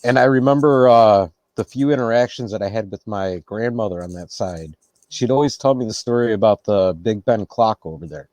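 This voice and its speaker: Relaxed male interview host, low-pitched, dry close-mic sound. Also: a little fast, no room sound. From United States. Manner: relaxed, laughs easily, reflective. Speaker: podcast host. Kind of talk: casual interview.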